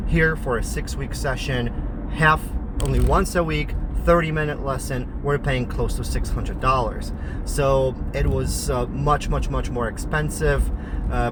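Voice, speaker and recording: Middle-aged man, Polish accent, candid vlogger, mid-pitched, faint vehicle hum behind. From Poland. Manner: direct, frequent "uh" fillers. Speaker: family travel vlogger. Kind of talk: casual explainer vlog.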